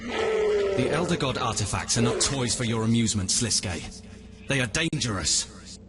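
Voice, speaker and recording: An actor playing an earnest, righteous god of peace in a video game, speaking sternly. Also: male voice, mid-range voice, sing-song, unhurried. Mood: stern warning. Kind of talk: video game cutscene acting. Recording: loud ambient noise behind.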